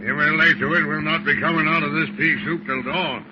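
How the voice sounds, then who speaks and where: An actor playing a crusty older sailor in 1940s radio style, jovial with a sly menace, talking in a folksy seafaring dialect. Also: male voice, on the high side, fairly even intonation, a little fast, close to an everyday voice. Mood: folksy, crafty. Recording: muffled, noisy old radio recording.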